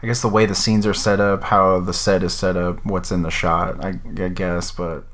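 A man chatting casually about films, laid-back and quick to laugh. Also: deep voice, level tone, fairly bright tone. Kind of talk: casual film review chat.

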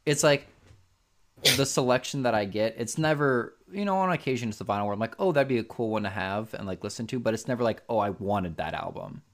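A man's voice: lowish voice, lively intonation, fairly quick. Rambling man chatting on a voice call. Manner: rambling, lots of restarts and fillers. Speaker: podcast host. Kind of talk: casual chat on a voice call.

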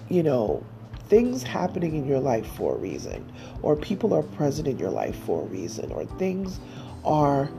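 A woman hosting her solo podcast, chatting casually with a stuffy nose and lots of fillers. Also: deep voice. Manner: chatty, rambling with many fillers. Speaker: podcast host.

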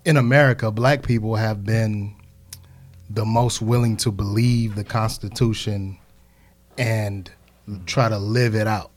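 A man's voice: on the low side, steady tone, a little slow. A man in his thirties in a casual group discussion, building reasoned points with frequent hedges. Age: 30s.

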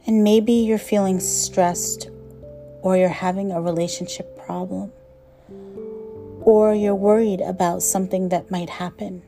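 A woman leads a guided meditation in a mellow, relaxed voice that sits a little low. Her instruction is gentle and measured, with phrases softening as they end. Faint music plays behind her. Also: gravelly.

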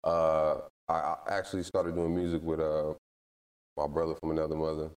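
Guest: American man, very deep low voice, relaxed slangy talk, a bit off-mic. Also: moderate pace, distant mic. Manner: laid-back, slangy, lots of "you know what I mean". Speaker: guest, recording artist. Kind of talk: casual interview chat.

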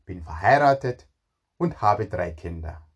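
Animated man in his forties. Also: deep voice, unhurried, breathy edge. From Ghana, moved to Germany at thirteen. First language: not German. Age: 40s. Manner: animated, emphatic, repeats words for emphasis. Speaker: speaker telling own career story, cook. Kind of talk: informal personal storytelling to an audience.

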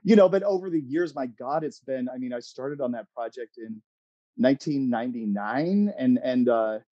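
A middle-aged American man chatting casually as an interview guest, telling anecdotes in a halting, expressive, self-amused way. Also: medium pitch, dry recording, muffled recording. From Oregon, United States. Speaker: interview guest, playwright and screenwriter.

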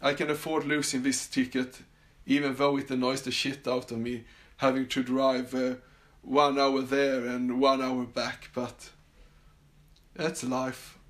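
Swedish guy rambling on his podcast, lots of uh's and restarts, mid-pitched voice. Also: flat delivery, fairly bright tone. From Sweden. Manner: halting, many fillers and restarts. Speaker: podcast host. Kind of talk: casual solo podcast monologue. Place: summer house.

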